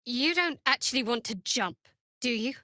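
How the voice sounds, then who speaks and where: An actress playing a guarded, wry young cleric of darkness in a video game, speaking in a measured way. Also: female voice, on the high side, lively intonation, bright, forceful tone, dry recording, studio quality. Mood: wry, cautious.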